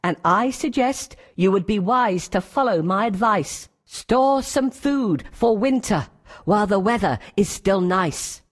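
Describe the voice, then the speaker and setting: A woman's voice: medium pitch, lively intonation, measured pace, no echo, studio quality. A woman voicing a little insect in a children's fable, speaking theatrically. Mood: scolding.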